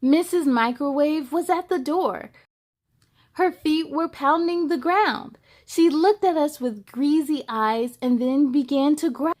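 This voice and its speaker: A woman with a high voice reads a children's story aloud. She takes it slowly and expressively, like a host who puts feeling into each line for young listeners. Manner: slow, expressive delivery with playful asides.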